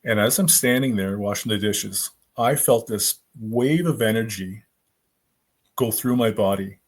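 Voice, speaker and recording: A man in his fifties, a guest author, telling his stories in a rambling, animated way with lots of fillers. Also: fairly low pitch, measured pace. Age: 50s. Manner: animated, rambling storyteller with many fillers and restarts. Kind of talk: casual storytelling in a podcast interview.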